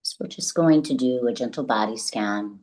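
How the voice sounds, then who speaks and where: A woman leading a guided meditation as the guide, speaking gently and deliberately in a soothing, instructional way with long pauses. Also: very deep voice, poor audio.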